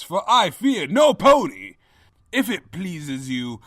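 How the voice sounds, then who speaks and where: A scheming evil pony king, raspy and slow, super pompous and theatrical, audio story villain. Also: on the high side, fairly bright tone, no echo, studio quality, full character voice. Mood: haughty, menacing. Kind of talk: dramatized fan fiction audio reading.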